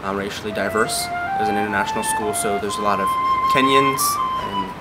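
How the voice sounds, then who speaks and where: A young man, a student whose first language is French, telling his life story with many pauses and ums. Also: on the low side, poor audio. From Canada. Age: young adult. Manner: halting, many ums, even and flat delivery. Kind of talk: personal story told to camera. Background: background music.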